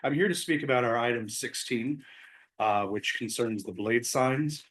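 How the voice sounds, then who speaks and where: A man's voice: mid-range voice, normal speed, fairly bright tone. A man speaking as a community group chair during public comment at a city commission meeting, explaining a proposal with frequent fillers. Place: city council chamber.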